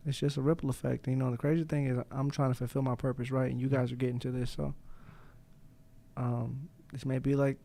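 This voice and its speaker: A young man talks quickly and easily in a relaxed podcast conversation, his voice gravelly and a little fried at the ends of phrases. He is slangy and profane, and he laughs as he speaks. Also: medium pitch. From United States. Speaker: guest boxer. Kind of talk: casual podcast chat.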